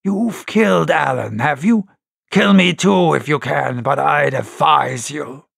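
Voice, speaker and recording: A man voicing an honest, brave but shaken sailor with a hoarse, trembling voice and rough seaman's speech, in a storybook reading. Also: a little high, takes it slowly, a bit bright, no echo, clean studio sound, exaggerated voice. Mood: defiant. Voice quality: hoarse, shaking voice. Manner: earnest, rough sailor's grammar.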